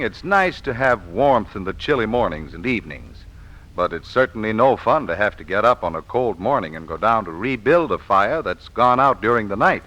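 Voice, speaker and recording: A man's voice: medium pitch, bright, forceful tone, low-quality recording. A man reading a scripted 1940s radio advertisement as an expert spokesman, folksy and persuasive, on an old broadcast recording.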